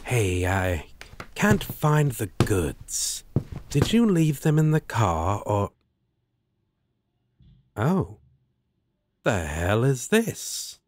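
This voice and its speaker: A man's voice: medium pitch, sing-song, very slow, pauses often, noisy background. A man playing a laid-back, affectionate demon roommate in a fantasy audio roleplay, casual and playful with a sardonic edge. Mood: wary, exasperated.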